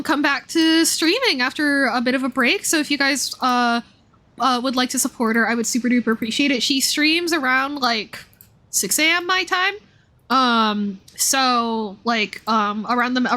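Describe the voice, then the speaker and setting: A woman's voice: high-pitched, normal speed, sharp tone. A woman chatting casually and playfully on a live game stream, with informal, slangy speech. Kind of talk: casual live stream chat over gameplay.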